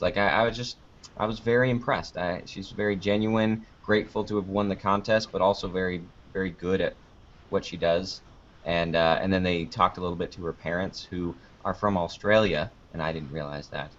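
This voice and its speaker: A man's voice: sits low, a bit bright. A man chatting casually as an interview guest, rambling a little as he thinks aloud. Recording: dull lo-fi online call audio.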